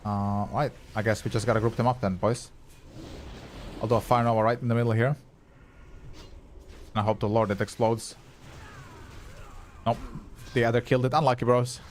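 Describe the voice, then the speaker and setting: A man's voice: mid-range voice, flat delivery, normal speed, long pauses, slight background noise. Chatty male game streamer, Croatian native. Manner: chatty, reads and answers chat, swears casually. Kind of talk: casual live-stream chat while gaming.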